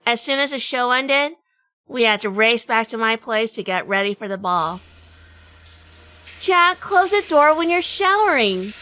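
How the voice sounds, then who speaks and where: A woman's voice: on the high side, animated, measured pace, pushed and bright. A woman playing a wry, world-weary detective in a noir audio drama, with a dry, sardonic delivery. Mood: exasperated. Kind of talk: hardboiled noir radio drama narration and dialogue.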